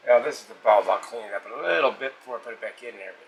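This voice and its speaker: A man's voice: mid-range voice, very fast. A man talking casually and plainly in a colloquial way as he hosts a hands-on restoration vlog from his workshop. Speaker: vintage radio restorer and host. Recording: faint background noise.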